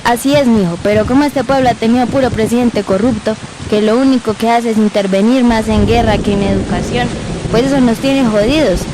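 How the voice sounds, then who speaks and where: A woman's voice: a little high, fairly quick, background noise, close to an everyday voice. A woman playing a folksy Colombian grandmother who tells stories colloquially in an amateur radio drama. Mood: reminiscing. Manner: colloquial, storytelling. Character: folksy storytelling Colombian grandmother, rueful, fond of her grandchildren.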